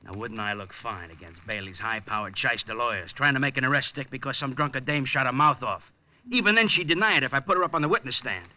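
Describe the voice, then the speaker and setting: An actor playing a tired, blunt police lieutenant, in 1950s radio drama style. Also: male voice, mid-range voice, quick pace, pushed and bright. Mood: resigned. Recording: dull old radio broadcast.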